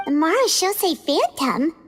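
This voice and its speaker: A tiny childlike fairy sidekick from a video game. The voice is extremely high and squeaky, leaping wildly up and down in an exclamatory way, with clear music behind it. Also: female voice, big pitch swings, moderate pace. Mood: surprised. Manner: exclamatory, speaks of herself in third person. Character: small chatty childlike floating fairy companion, cheerful and indignant. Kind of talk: English game dub voice acting.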